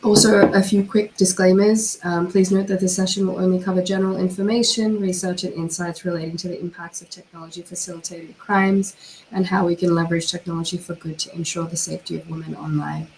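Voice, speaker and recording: A hoarse woman, her voice worn down by a cold, delivers a measured, formal presentation over a video call. Her pitch sits low and stays flat, and a faint hiss runs under the line. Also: rough recording. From Australia. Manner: measured, formal, with occasional fillers. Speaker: panel speaker, cyber criminologist. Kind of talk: formal prepared online presentation.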